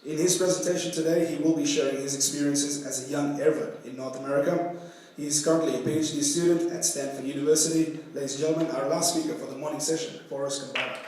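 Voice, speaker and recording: A man hosts a conference session and introduces the next speaker, stumbling now and then on uhs. His slightly high voice comes from far off in a very echoey hall. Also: fairly even intonation, a little mellow, slight background noise. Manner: halting, filler-heavy. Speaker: session moderator.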